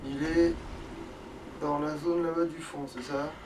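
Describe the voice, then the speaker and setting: A man's voice: on the high side, little pitch movement, a little slow. A man giving casual, sweary, grumbling commentary while playing a video game. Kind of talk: casual let's play commentary. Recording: distant mic, faint background noise.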